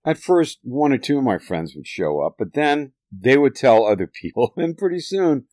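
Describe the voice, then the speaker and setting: A man from the United States telling nostalgic family stories in a fond, lively way, chuckling now and then. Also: mid-range voice, normal speed, dry recording, clean studio sound. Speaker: storyteller. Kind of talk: nostalgic personal narration.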